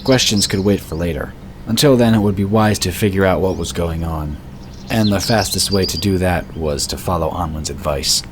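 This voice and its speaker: Man narrating a fantasy story, very deep voice, steady measured reading, noticeable background hiss. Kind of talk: scripted audiobook narration.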